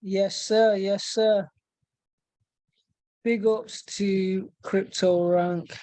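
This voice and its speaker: Woman host, chatty news roundup, repeats herself, mid pitch, dry close sound. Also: fairly even intonation, very slow, warm tone, dry recording. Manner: chatty, repeats words when thinking. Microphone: video call mic.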